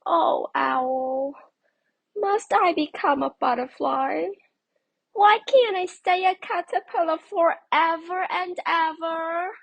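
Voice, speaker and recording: A woman reading a storybook, voicing a small, stubborn, excitable young male caterpillar in a childlike, emphatic way. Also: slow, gravelly, no echo, heavily acted. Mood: pleading. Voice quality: high and childlike. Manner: plaintive, emphatic exclaiming. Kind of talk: children's storybook read-aloud.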